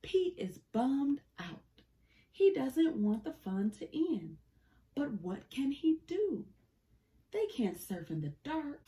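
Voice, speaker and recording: A woman reading a children's picture book aloud in an animated, deliberate storytelling manner. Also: sits high. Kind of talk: expressive scripted read-aloud of a picture book.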